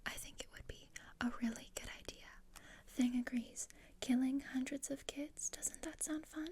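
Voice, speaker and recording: A woman playing a morbid, scheming, deadpan teenage girl in a whispered ASMR roleplay, with a measured, dryly macabre manner. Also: high voice, natural voice. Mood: dry, plotting.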